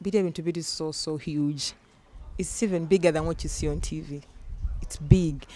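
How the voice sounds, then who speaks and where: A woman's voice: low voice, moderate pace. A young Ugandan woman singer, chatting in an interview and acting out the people she quotes. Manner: animated storyteller, acts out quotes. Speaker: singer guest. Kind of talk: casual interview, mixing Luganda and English.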